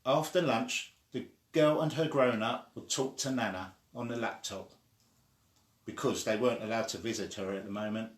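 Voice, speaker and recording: A man reading a children's story aloud in an expressive way, sometimes stumbling and starting again. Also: mid-range voice, moderate pace. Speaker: story reader. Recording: echoey room.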